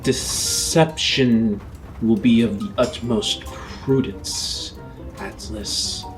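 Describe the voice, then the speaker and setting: A man voicing a grave, cautious starship pilot in a tabletop game, speaking in formal, deliberate phrases. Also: mid-range voice, fairly even intonation, over music. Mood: grave, scheming.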